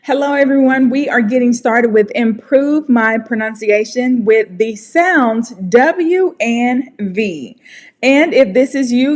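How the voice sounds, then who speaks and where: An American woman leads a relaxed pronunciation class, her voice sitting a little high. She talks slowly and carefully, encouraging her student as she goes. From United States. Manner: friendly, encouraging, slow and deliberate. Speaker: English pronunciation teacher. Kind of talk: casual online pronunciation lesson.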